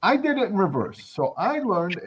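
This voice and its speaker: Older man, animated high-pitched voice. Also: background noise. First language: Arabic. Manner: halting, searching for words. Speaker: academic guest, anthropologist. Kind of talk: reflective interview conversation.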